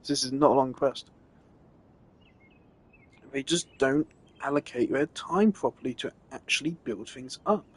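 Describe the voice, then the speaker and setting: A man's voice: medium pitch, unhurried, pauses often, husky. A man giving a casual, opinionated rant on a live stream, emphatic and exasperated in the way he talks.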